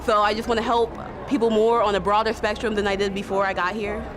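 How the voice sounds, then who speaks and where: A young woman from Ohio, a recent high school graduate, giving a thoughtful testimonial. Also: level tone, quick pace. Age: young adult. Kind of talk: reflective testimonial. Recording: crowd chatter and music behind.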